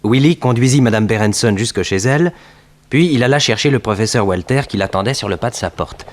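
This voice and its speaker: A man narrating a 1960s French radio detective serial in a formal, measured, sober way. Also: mid-range voice, close to an everyday voice.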